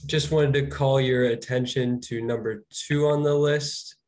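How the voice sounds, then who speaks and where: A slightly hoarse man speaks to an appeals board over a video call. He is polite and deferential, and his pitch is ordinary for a man. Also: fairly even intonation, a little slow, darkish tone. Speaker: law department representative. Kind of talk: formal hearing remarks.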